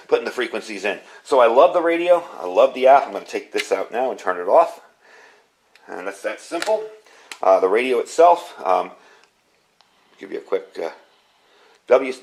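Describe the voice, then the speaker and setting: A middle-aged man with an American accent giving a casual, enthusiastic product review in an informal, conversational way. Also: on the low side. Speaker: product reviewer, amateur radio hobbyist.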